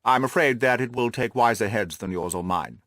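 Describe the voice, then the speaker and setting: A man's voice: medium pitch, a little fast, a bit bright, no room sound, studio quality, lightly acted. Man as a haughty, stately nobleman. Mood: haughty, doubtful. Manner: formal, haughty. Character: stately, condescending, old-fashioned English nobleman. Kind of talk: audiobook character dialogue.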